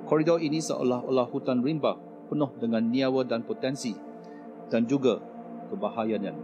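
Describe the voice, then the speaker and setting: A man's voice: medium pitch, a little fast, muffled recording, over music, lightly acted. A man in a radio play playing an anxious migrant worker, speaking in an earnest, poetic recital. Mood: uneasy, solemn. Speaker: corridor inhabitant.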